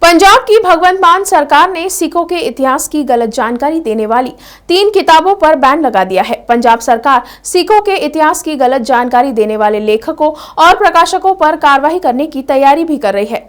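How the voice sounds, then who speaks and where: Female news presenter, high voice, scripted bulletin delivery. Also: animated, moderate pace, fairly bright tone.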